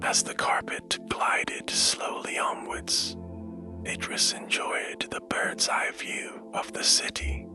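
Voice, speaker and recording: A man narrating a bedtime story in a hushed, gentle and soothing manner, taking his time. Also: takes it slowly, whispered. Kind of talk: slow, whispered bedtime storytelling. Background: music.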